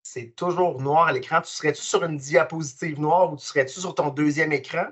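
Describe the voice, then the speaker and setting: A man's voice: fairly high pitch, fairly even intonation, fairly quick, fairly bright tone, husky. A man with a Québécois French accent, hosting a webinar over a video call in an enthusiastic, friendly way. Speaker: webinar host. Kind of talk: casual webinar presentation.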